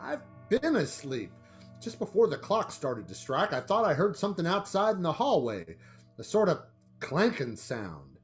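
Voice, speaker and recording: A woman's voice: on the low side, wildly swooping pitch, normal speed, soft background music. A woman playing a confident, skeptical family father in a radio play, brash and hearty in manner. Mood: alarmed.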